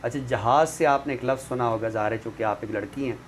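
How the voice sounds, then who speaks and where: A man's voice: mid-range voice, fast talker. Pakistani man explaining, noisy background. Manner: didactic, explains with examples and checks understanding. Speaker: literary commentator and guest expert on a talk show. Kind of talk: explanatory literary discussion. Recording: clear background noise.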